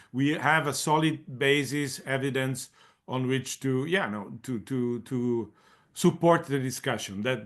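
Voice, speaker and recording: A man's voice: mid-range voice, a bit bright. A man answering on an online panel, thinking aloud with frequent repetitions and restarts. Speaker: panelist leading a community of practice.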